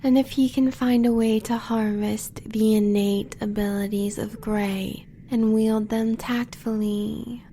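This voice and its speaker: Woman playing a very slow, breathy American huntress. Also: a little high, level tone, warm tone, slight background noise. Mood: confident, menacing. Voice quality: breathy, often whispering. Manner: intimate, measured. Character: confident, devoted huntress lover, coolly bloodthirsty. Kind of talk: romantic ASMR audio roleplay.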